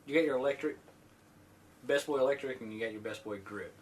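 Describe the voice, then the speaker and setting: A man co-hosting a podcast, speaking haltingly with many restarts in casual chat. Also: medium pitch. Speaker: podcast co-host.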